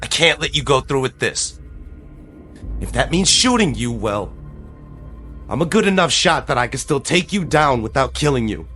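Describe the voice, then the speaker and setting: A man's voice: medium pitch, lively intonation, moderate pace, long pauses, pushed and bright, soft background music. An actor playing a frightened but determined man in an audio drama, urgent and emotional. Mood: tense warning. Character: frightened but determined man confronting his possessed cousin.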